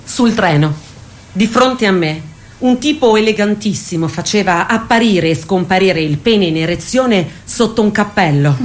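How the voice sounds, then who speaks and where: A woman's voice: medium pitch, lively intonation, normal speed, natural voice. An actress playing a wry, squeamish woman reacting and recalling her girlhood in a radio play. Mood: vivid recounting. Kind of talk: theatrical radio drama dialogue.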